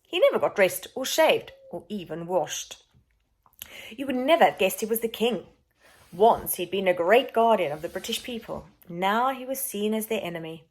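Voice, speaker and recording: A woman reading a children's storybook aloud, expressive, dramatic and emphatic in her narration. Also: medium pitch, normal speed.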